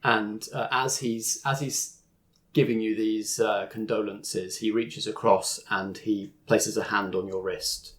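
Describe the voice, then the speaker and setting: Low-voiced game master. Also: male voice, flat delivery, fairly bright tone. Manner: descriptive, measured, slows for drama. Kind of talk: narrating a tabletop game.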